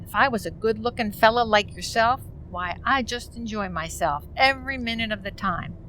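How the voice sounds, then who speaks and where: Woman acting sassy young female hitchhiker, high-pitched, slangy, skeptical, forties-style radio play, faint background noise. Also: moderate pace, bright, forceful tone, rough recording. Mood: casual, teasing. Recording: faint background noise, community theater recording.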